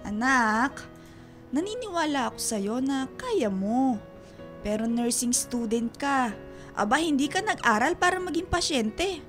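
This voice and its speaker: Casting: doting, nagging Filipino mom in her middle years, high-pitched, radio drama with music underneath. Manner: fussing, nagging, expressive. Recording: music behind the voice.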